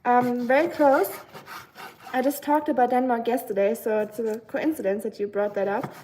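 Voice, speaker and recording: A woman's voice: fairly high pitch, steady tone, moderate pace, darkish tone, slight background noise, low-quality recording. A young woman chatting casually on a live stream while cooking at home, reading comments with lots of fillers and giggly asides. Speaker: livestreamer.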